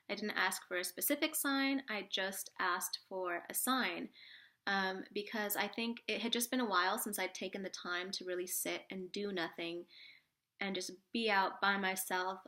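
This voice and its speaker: A woman with a cold, sniffly and a little rough, chatting casually to camera as a spiritual vlogger, rambling with lots of fillers and restarts. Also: medium pitch, sharp tone.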